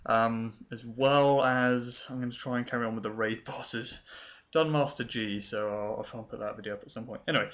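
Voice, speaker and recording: A man's voice: medium pitch, fairly even intonation, brisk, a bit bright. A British man giving casual, unscripted game showcase commentary in an informal, hesitant and understated way. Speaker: gaming video creator and host.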